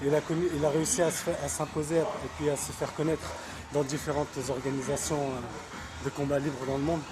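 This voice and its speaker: Higher-pitched man explaining in an interview. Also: level tone, brisk. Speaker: interviewee, combat sports practitioner. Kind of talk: casual spoken interview.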